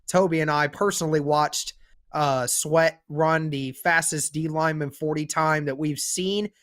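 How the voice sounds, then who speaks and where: A man's voice: on the high side, fairly even intonation, a little slow, fairly bright tone. A young man with a sore throat hosting a casual sports podcast, upbeat and leading the talk. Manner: upbeat, leads the discussion. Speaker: podcast host.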